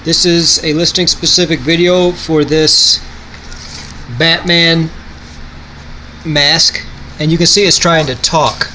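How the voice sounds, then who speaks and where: A man's voice: on the high side, steady tone, takes it slowly, long pauses, bright, forceful tone, a little hiss or hum, poor audio. A man giving a casual product demonstration in an offhand, rambling way.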